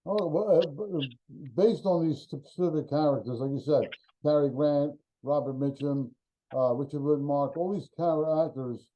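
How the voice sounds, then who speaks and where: A man interviewing a guest, asking hesitant, halting questions in a casual chat. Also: fairly high pitch. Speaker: interviewer host. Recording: dull lo-fi sound.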